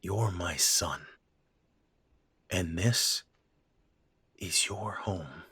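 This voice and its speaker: A man voicing a gruff, stubborn middle-aged father with a raspy voice, blunt and scolding, trailing into hesitant pauses. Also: low-pitched, no echo, exaggerated voice. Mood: subdued. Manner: blunt, scolding, softening into hesitant halting speech. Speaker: voice actor. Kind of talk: audio drama acting.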